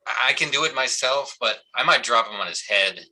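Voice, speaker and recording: Man acting a blunt small-town cop, bright forceful voice. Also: mid-range voice, a little fast, slightly rough, poor audio. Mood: matter-of-fact. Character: blunt, gruff small-town male cop, weary and sardonic. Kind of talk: live staged play reading.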